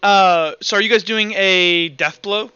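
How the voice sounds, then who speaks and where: A man with a high, bright voice runs a tabletop roleplaying game. He talks casually and thinks aloud, counting and narrating as he goes. The audio is slightly muffled, with music behind. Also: steady tone, a little slow, clear, resonant tone, dry recording. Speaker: game master. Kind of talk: running a tabletop roleplaying game, improvised rules talk and narration.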